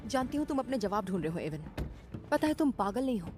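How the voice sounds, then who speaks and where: A woman's voice: mid-range voice, big pitch swings, fast, over loud music, close to an everyday voice. A woman dubbing an insistent, mysterious woman who speaks earnestly of past lives in a fan-made action film dub. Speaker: dubbing actor.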